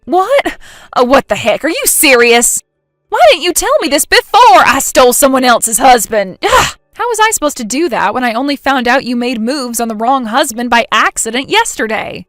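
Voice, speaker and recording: A woman's voice: lively intonation, fairly quick, few pauses, fairly bright tone, no echo, studio quality, faint music behind. Woman doing a frantic, whiny young woman. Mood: indignant. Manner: shrill, panicky outbursts.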